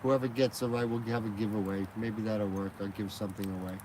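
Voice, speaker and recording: A man's voice: fairly low pitch, flat delivery, quick pace, background noise. A man chatting casually and rambling on a live stream, outdoors at night beside a fire, filming on a phone mounted on a gimbal. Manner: rambling, chatty, laughs easily.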